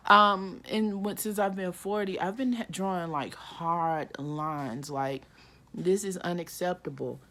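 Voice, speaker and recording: A woman in her early forties talking casually and reflectively to camera, with many "like" and "um" fillers, acting out inner voices with sudden pitch jumps. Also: a little slow, pushed and bright. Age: 40s. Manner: frequent "like" and "um" fillers. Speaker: vlogger.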